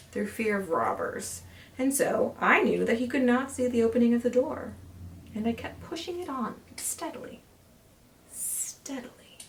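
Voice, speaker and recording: A woman voicing a nervous, secretly gleeful mad narrator who insists on being sane, read as dramatic horror storytelling with intense emphasis and breathy drops. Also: on the high side, fairly bright tone, heavily acted. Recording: distant mic with background noise.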